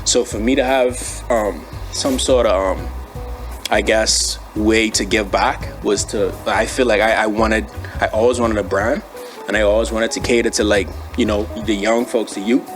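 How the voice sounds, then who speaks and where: A man's voice: lowish voice, fairly even intonation, normal speed. A young man with a Bahamian accent chatting casually as an interview guest, in a laid-back, slangy way. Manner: laid-back, slangy, frequently says bro and you know what I mean. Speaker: interview guest, former athlete and brand owner. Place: studio. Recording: music playing behind the voice. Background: music.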